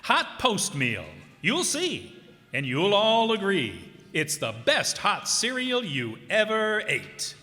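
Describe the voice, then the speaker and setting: Male actor as singsong radio ad announcer; high, lively, sharp-toned. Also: takes it slowly, long reverb tail, faint music behind, heavily acted. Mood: upbeat. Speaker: commercial announcer. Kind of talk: 1950s radio ad reading. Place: stage before a live audience.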